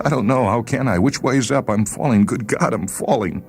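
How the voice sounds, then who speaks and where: A man's voice: medium pitch, moderate pace, soft background music, pitched above the performer's own voice, natural voice. A man voicing alarmed, stranded spacemen who call out urgently to one another. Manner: urgent calling out. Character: stranded spacemen calling to each other, alarmed.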